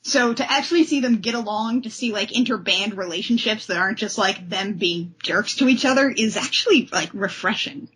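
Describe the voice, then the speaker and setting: Woman podcast host, bit husky, super enthusiastic and chatty, casual. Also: mid-range voice, fairly quick, few pauses, a bit bright, low-quality recording. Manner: enthusiastic, effusive. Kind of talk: casual comic discussion.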